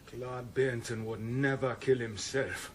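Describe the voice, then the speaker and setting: A man playing a sullen, wary gangster in a radio drama, speaking in a halting, grudging way. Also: mid-range voice, steady tone, slight background noise, close to an everyday voice. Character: sullen, wary male gangster under police pressure. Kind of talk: 1990s radio drama acting.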